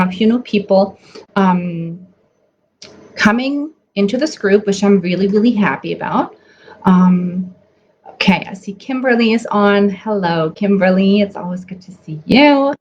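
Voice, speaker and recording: A woman's voice: medium pitch, a little mellow. Woman in her forties, chatty livestream nutrition coach, dull lo-fi mic. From Germany. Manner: chatty, many ums and restarts. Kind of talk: casual live stream teaching. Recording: slightly dull audio with faint noise.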